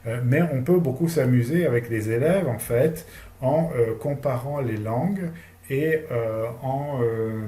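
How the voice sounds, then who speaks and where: Male lecturer, mellow tone, hesitant delivery, roomy echo. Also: medium pitch. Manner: hesitant, many fillers. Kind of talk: recorded lecture.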